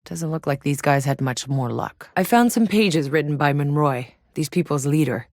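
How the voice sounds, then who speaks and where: Tough wry soldier lady for a video game, low pitched, terse and matter of fact, dry studio sound. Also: normal speed, darkish tone, dry recording. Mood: matter-of-fact, focused. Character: tough, wry female soldier scouting a hostile alien world. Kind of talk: scripted video game voice lines.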